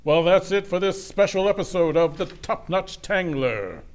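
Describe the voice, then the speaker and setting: A man's voice: high-pitched, animated, moderate pace, no echo. A man narrating and announcing a scripted radio serial.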